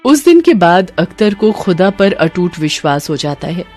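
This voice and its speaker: Low-pitched woman narrating a cartoon story. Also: big pitch swings, moderate pace, darkish tone, faint music behind.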